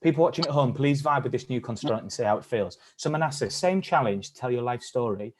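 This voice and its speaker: A man's voice: medium pitch, lively intonation, a little fast. A man leading an online acting workshop, encouraging and upbeat as he coaches the participants. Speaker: workshop leader. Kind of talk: live-streamed acting workshop.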